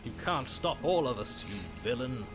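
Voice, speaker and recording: A man acts out a florid romantic hero for a video game. He declaims grandly and slowly, ardent in every phrase, with sweeping intonation. Loud music runs underneath. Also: mid-range voice, lively intonation, close to an everyday voice. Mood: indignant. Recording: music behind the voice.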